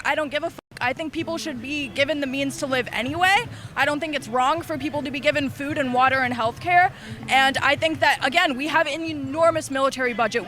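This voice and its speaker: Defiant young interviewee, high bright voice. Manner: defiant, argumentative, swears. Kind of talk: heated street interview argument.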